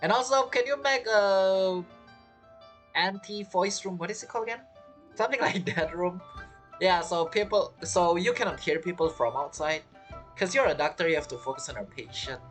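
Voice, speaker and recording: A man's voice: high-pitched, normal speed, fairly bright tone. A man giving casual, informal gameplay commentary as a streamer, with non-native grammar. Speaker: streamer, gamer. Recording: game music behind the voice.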